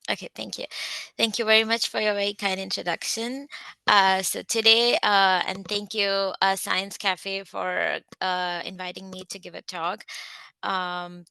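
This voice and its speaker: An Indian woman in her thirties presents a research talk over a video call. Her voice sits low but sounds bright and crisp, and the sound is dry with no room around it. She speaks carefully, often pausing on fillers. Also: no echo. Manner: careful, with frequent hesitant fillers. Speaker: guest speaker, research scientist. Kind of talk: online presentation with slides.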